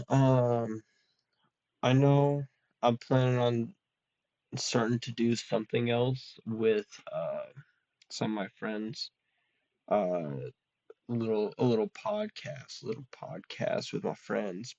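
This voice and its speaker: A young man talks slowly and loosely, his slightly nasal voice turning gravelly and creaky. He rambles with many ums and restarts. The recording is dull and lo-fi, with a dry sound. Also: mid-range voice, a little mellow, no room sound. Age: young adult. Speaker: vlogger. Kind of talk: casual solo chat.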